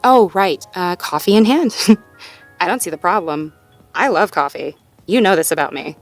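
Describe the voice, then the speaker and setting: Low-voiced, casually wry girlfriend played by a woman. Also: lively intonation, moderate pace, faint music behind. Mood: wry, conceding. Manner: conversational, casual, with sighs and soft chuckles. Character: sweet, self-doubting, playfully wry girlfriend talking with partner. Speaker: audio roleplay performer. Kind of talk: intimate slice-of-life audio roleplay acting, one-sided conversation.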